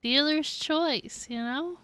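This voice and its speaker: A woman's voice: high voice, slow, sharp tone, no room sound. A woman livestreamer chatting casually with viewers over a game, in a playful, teasing, giggly way. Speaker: livestreamer, VTuber. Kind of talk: casual gaming stream chat with viewers.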